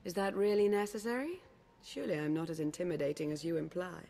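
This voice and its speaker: A woman playing a shrewd, composed female dark-order agent in a video game, formal and courteous. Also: fairly low pitch, quick pace, vocal fry, faint music behind. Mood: smooth, amused. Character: poised, shrewd female Sith Lord intelligence agent. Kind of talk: video game cutscene acting.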